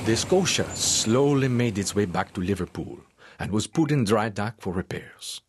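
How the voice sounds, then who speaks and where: Formal storytelling professor, animated. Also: male voice, fairly low pitch, normal speed, background noise. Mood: measured, intrigued. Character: French natural history professor narrating his strange story. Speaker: narrator. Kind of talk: dramatized audiobook narration.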